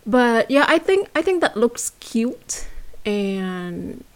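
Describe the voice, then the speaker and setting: Young vietnamese-accented woman chatting as a guest, halting, restarts a lot. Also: a little high, big pitch swings. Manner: hesitant, many fillers and restarts. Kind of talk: casual interview chat.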